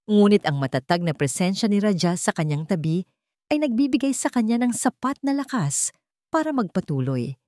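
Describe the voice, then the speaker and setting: A woman's voice: on the low side, a little mellow, no room sound, studio quality. A woman reading a scripted dramatic story as its narrator.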